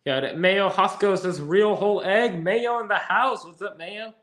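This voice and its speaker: A man's voice: sits high, normal speed, a bit bright, reverberant. A man hosting a casual podcast, chatting and reading out viewer comments. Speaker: podcast host reading live chat. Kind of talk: casual chat.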